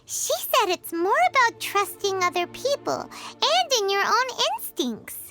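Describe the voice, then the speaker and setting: A woman's voice: very high-pitched, sing-song, sharp tone, soft background music, exaggerated voice. A woman voicing a tiny, chatty floating fairy companion with a chirpy, curious way of talking in a game cutscene. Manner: chirpy, quick reactions, curious questions.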